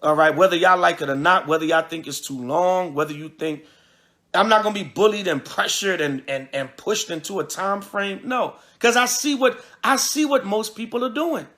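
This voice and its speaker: A man giving an informal preaching address as a religious leader, speaking emphatically with repetition and halting restarts. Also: sits high, bright, forceful tone. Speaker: priest and religious group leader. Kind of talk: livestreamed address mixing preaching and informal talk.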